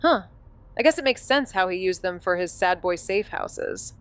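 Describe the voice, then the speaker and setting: A woman playing a wry, deadpan young amateur detective in an audio drama, both in dialogue and noir narration. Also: on the low side, moderate pace, clean tone, lightly acted. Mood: casual, wry.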